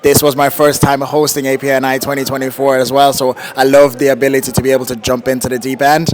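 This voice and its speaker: A man speaking as an event organizer in a testimonial, enthusiastic but steady. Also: medium pitch, quick pace, soft background music. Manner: enthusiastic but steady, keeps saying honestly.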